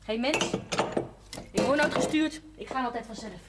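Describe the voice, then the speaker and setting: A woman's voice: fairly high pitch, normal speed, close to an everyday voice. An actress playing a blunt, chatty young woman looking for work as a maid, in a 1970s TV drama. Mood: cheeky. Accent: Dutch. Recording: old TV soundtrack with faint hiss.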